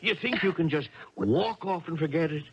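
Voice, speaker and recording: An actor playing a pushy, ambitious middle-aged father with a husky voice in a 1970s radio drama. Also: fairly high pitch, animated, normal speed. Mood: scornful, urgent. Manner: pushy, pleading, emphatic. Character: domineering, ambitious father of a tennis champion daughter. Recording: dull old radio broadcast.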